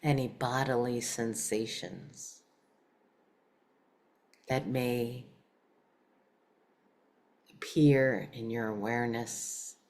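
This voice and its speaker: Woman, meditation teacher, very deep voice, slow pace, short measured phrases with pauses. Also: fairly even intonation, a little mellow. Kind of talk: guided meditation.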